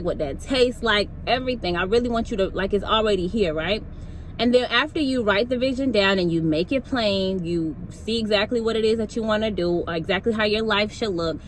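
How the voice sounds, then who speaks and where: A woman's voice: mid-range voice, a bit bright, a little hiss or hum, low-quality recording. Woman giving a casual pep talk. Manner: casual, preachy, encouraging, lots of "like" and "y'all". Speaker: wellness vlogger. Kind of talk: motivational talk to camera. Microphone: phone.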